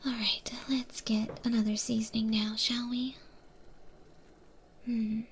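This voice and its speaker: A woman voicing an eldritch monster cook who patiently trains a new recruit, in a breathy whispered ASMR roleplay. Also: a little high, flat delivery, a little hiss or hum. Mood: calm, musing. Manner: patient, gently instructive, unhurried.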